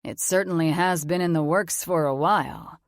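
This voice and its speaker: Woman putting on a confident mature man, smooth, teasing, dry close sound. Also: fairly low pitch, normal speed, no echo, natural voice. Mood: smug, assured. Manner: smooth, teasing, self-assured. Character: suave confident mature man, fake fiancé.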